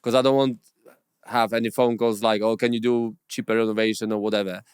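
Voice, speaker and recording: A man who is a property investor, telling his story as an interview guest in halting, non-native English with many fillers and restarts. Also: fairly low pitch, little pitch movement, clean tone, no room sound, clean studio sound.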